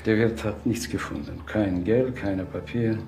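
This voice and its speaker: An actor playing a polite, composed businessman and father who speaks in a measured, formal way in a TV crime drama. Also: on the low side, over music. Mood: calm, courteous. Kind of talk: naturalistic TV crime drama acting.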